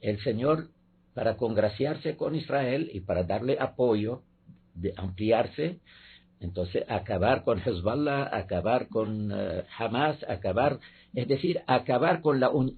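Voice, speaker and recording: A man, a guest professor, giving measured political commentary in a debate, pausing often with fillers. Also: medium pitch, lively intonation, breathy edge. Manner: measured, halting with many fillers. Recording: tinny phone-like line.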